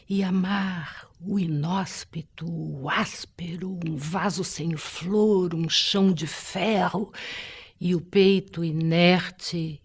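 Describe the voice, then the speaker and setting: A woman reciting a poem slowly and solemnly, with measured, dramatic pauses. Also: deep voice, darkish tone, slightly rough. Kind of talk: slow solemn poetry recitation.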